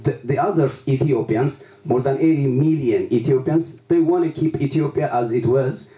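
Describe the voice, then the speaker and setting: A man puts a long interview question, stopping and restarting often. His voice is mellow and dark. He sounds set back from the mic in a roomy space. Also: mid-range voice, distant mic. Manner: halting, many restarts and fillers.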